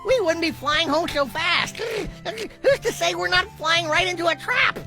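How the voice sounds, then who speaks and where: High, creaky little dragon sidekick. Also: male voice, lively intonation, a little slow, bright, forceful tone, exaggerated voice. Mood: suspicious, worried. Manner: blunt, grumbling. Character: grumbly, suspicious, food-loving little dragon sidekick. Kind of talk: animated cartoon voice acting. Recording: music behind the voice.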